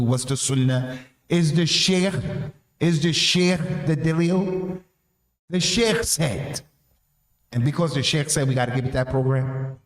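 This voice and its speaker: A male preacher with an American accent delivers an informal religious reminder. He is emphatic and colloquial, repeating phrases for weight and asking rhetorical questions. His mid-pitched voice rings with the echo of the room. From North America. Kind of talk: informal sermon. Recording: echoey room.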